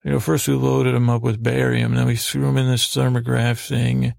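Male podcast host for sleepy, mock-serious monologues: heavy vocal fry, even intonation, halting and meandering delivery. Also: mid-range voice, dry recording, studio quality. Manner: meandering, halting, repeating half-words. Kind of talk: rambling bedtime monologue to help listeners sleep.

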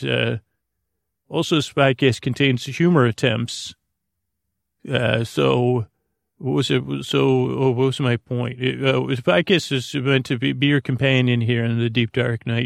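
A man with a creaky voice hosting a sleep podcast, rambling soothingly and haltingly in a friendly bedtime monologue, repeating himself and trailing off mid-thought. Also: medium pitch, no room sound, clean studio sound. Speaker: podcast host.

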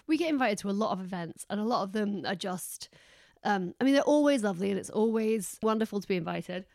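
A woman's voice: fairly low pitch, a little mellow, no room sound. Lady chatting on her podcast, voice going from allergies, dead dry mic. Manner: chatty, self-deprecating, rambling. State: losing her voice. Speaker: podcast host. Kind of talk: casual podcast chat. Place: home.

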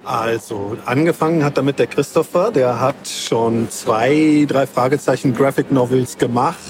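A man's voice: medium pitch, normal speed. A man with a German accent, from Germany, chatting casually as an interview guest. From Hanover, Germany. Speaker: comic author and interview guest. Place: book fair. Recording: clear background noise, busy book fair.

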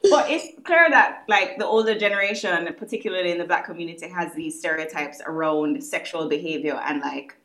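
A woman's voice: on the low side, brisk, few pauses, a bit bright, echoey room, poor audio. A young woman talking thoughtfully in a casual podcast chat and asking reflective questions.

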